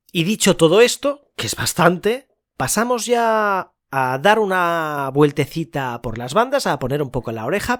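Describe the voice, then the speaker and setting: A man with an accent from Spain, presenting a podcast in a conversational style as its host. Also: a little high. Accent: Spain Spanish.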